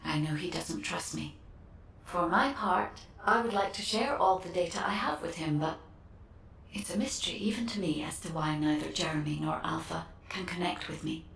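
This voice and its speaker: A serene female AI guardian for a video game. Her voice sits a little low and sounds distant and echoey. She speaks with measured, courteous care. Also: gravelly, a bit bright, slight background noise. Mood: calm, earnest. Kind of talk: scripted game dialogue.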